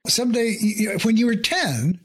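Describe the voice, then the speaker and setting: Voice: mid-range voice, animated, moderate pace, slightly rough. An interview host asking questions eagerly, with stumbling restarts and repeated words. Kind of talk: conversational interview.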